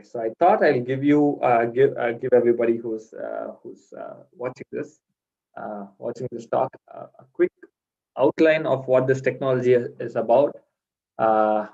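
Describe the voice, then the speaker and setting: A man's voice: medium pitch, mellow. A man from India giving an online conference talk as a guest speaker, with many fillers and restarts. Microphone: video call. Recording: dull lo-fi video call audio.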